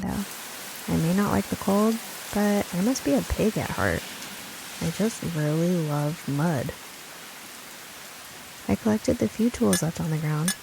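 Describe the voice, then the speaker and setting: A woman narrates a story in the first person, expressive and deliberate. Her voice is low and mellow with a creaky edge, and faint rain and hiss sit behind it. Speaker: narrator of an audio drama.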